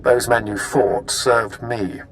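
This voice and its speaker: This is a cold, calculating intelligence agent from a video game. He speaks formally and with measured, smug confidence, over faint background noise. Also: mid-range voice, steady tone, vocal fry. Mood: cool, formal. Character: cool, calculating male imperial intelligence agent. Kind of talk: scripted video game dialogue.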